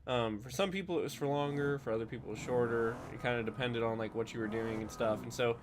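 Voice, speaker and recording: Male streamer, just woke up, a little nasal, loose chatty rambling over steady background noise. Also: medium pitch, fast talker. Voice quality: slightly nasal. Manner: chatty, many fillers and restarts. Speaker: game streamer. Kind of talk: casual rambling stream chat.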